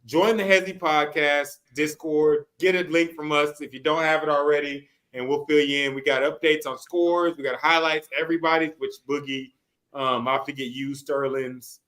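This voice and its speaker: A man's voice: a little high, fairly even intonation, moderate pace, a bit bright. A man hosting a sports podcast in casual chat, talking in a slangy, profane way.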